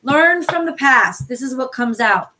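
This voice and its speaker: A woman from the New York City area with a hoarse voice, chatting casually and swearing freely as she reads tarot cards live for viewers. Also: high-pitched, animated, a little slow, pushed and bright, slight background noise, low-quality recording. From New York City area, USA. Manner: chatty, profane, greets viewers by name. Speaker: tarot card reader hosting a livestream. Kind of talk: casual live-stream chat.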